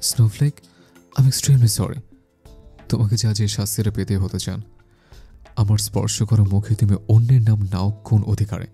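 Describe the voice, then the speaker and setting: Man as menacing young villain, obsessive, low gravelly voice, mellow tone, audio drama. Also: fairly even intonation, moderate pace, pauses often, soft background music. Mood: cold menace. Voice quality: heavy, gravelly voice. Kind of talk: Bengali audio story acting.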